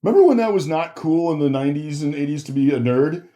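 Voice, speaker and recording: A man's voice: on the high side, lively intonation, fast talker, warm tone. A man chatting casually as a podcast guest, often breaking off and restarting his thoughts. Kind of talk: casual chat.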